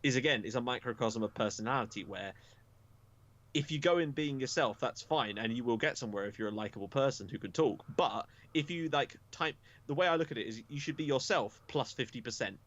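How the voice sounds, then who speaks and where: A young Englishman talks through a casual podcast chat at a middle pitch. He is frank and self-deprecating, and he drops the odd swear word without fuss. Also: fairly quick, a bit bright, dull audio. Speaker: podcast guest.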